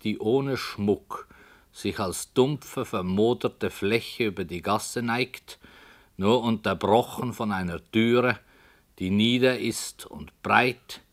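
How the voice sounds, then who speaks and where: A radio-play author narrating a dreamlike walk. His voice is low and his pace very slow. Every phrase comes out measured and deliberate. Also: fairly bright tone.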